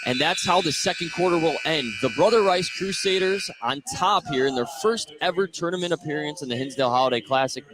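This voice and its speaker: A man's voice: a little high, a little fast, hardly any pauses, poor audio. Male sportscaster over crowd noise. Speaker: play-by-play host. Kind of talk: live basketball commentary. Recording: live game with crowd noise.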